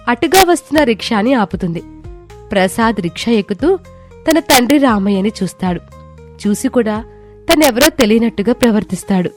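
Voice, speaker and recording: Woman telling a moral story, scripted narration, bit of music behind. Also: a little high.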